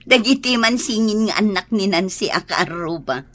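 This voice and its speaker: A spiteful, envious wife in a radio drama. Her voice is bright and cutting, mid-pitched, with an Ilocano accent and a scornful delivery. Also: lively intonation, normal speed. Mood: mocking.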